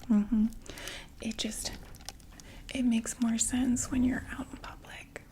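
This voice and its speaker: A woman's voice: on the high side, little pitch movement, slow pace. A woman whispering as a chatty vampire saleswoman. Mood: soothing. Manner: soft whispering, chatty, gently reassuring. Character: chatty undead female vampire makeup saleswoman. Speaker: ASMR performer. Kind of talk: ASMR roleplay.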